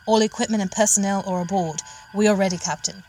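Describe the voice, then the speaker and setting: A woman's voice: medium pitch, fairly even intonation, quick pace, a little mellow, slight background noise, close to an everyday voice. A woman playing an eager, rule-bending chief engineer aboard a starship in a science fiction audio drama. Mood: reporting, businesslike.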